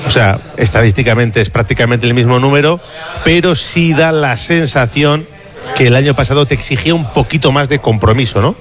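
A man's voice: mid-range voice, brisk. Man hosting sports radio. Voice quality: conversational, steady delivery with fillers.

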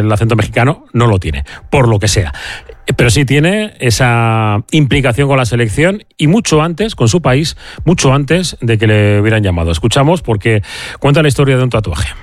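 A man's voice: medium pitch, animated, moderate pace, dry recording. Man hosting sports radio. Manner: many fillers and restarts. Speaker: radio sports show host. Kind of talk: hesitant conversational sports radio presenting.

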